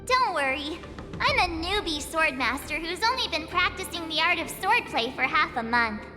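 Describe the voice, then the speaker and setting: A woman's voice: very high voice, very animated, moderate pace. A woman voicing a cheeky, upbeat young female swordswoman in a game cutscene, chirpy and self-assured. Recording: reverberant mix with game music.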